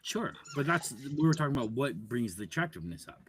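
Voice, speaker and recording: A man with a deep voice co-hosting a casual talk show, arguing his points bluntly and lecturing the others. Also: big pitch swings, fast, fairly bright tone. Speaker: podcast co-host. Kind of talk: casual debate chat.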